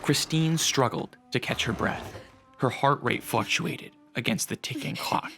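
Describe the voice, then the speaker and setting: An actor playing a grave narrator of a monster adventure, telling it in solemn, scripted radio drama style. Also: male voice, mid-range voice, unhurried, slight background noise. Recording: loud dramatic score behind.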